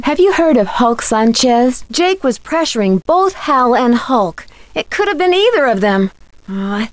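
A woman's voice: fairly high pitch, no echo. An actress playing an earnest, inquisitive teenage girl detective in a video game, with a direct manner. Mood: pondering. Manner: direct, questioning. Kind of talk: scripted game dialogue.

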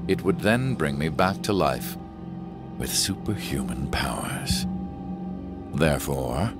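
Game villain, cold and vengeful schemer, rock-bottom gravelly voice, measured menace, heavy music behind. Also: male voice, animated, exaggerated voice. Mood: cold, calculating. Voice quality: very deep, gravelly. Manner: measured, menacing, self-assured narration. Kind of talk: scripted video game narration.

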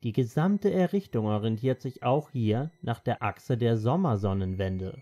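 A man narrates from a documentary script. His voice is dark and mellow at an average male pitch, with faint music playing behind it. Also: lively intonation, unhurried.

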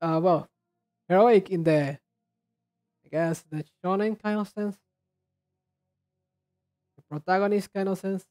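A man chatting casually and haltingly as he hosts a reaction video, rambling in a low-key way. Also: fairly even intonation, darkish tone. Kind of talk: casual chat, reacting to game trailers.

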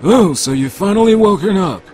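Guy doing wry tired old scientist, bit high for a man, slight noise on it. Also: lively intonation, moderate pace, a little mellow. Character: wry old male scientist, weary of mishaps. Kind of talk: fan parody anime dub.

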